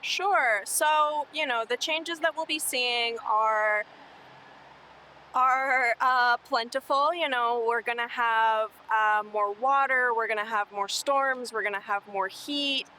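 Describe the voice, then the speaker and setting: A woman's voice: a little high, slow, sharp tone, poor audio. A young woman from Colombia who works on city sustainability, answering oral history interview questions earnestly and hopefully, with some fillers.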